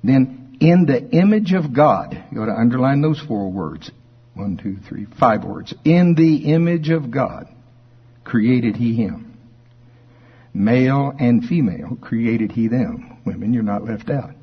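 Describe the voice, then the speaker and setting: A man preaching in an informal Bible study, conversational and folksy, slowing with deliberate emphasis as scripture is read aloud. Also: mid-range voice, expressive, husky, muffled recording. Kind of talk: informal Bible teaching to a congregation, reading verses aloud. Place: speaking live before a congregation.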